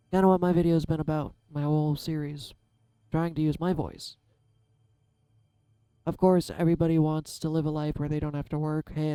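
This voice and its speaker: A soft-spoken man musing aloud in a candid, self-deprecating way as he gives a casual tarot reading. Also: fairly high pitch, clear, resonant tone, no echo. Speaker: tarot reader. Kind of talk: casual reflective vlog.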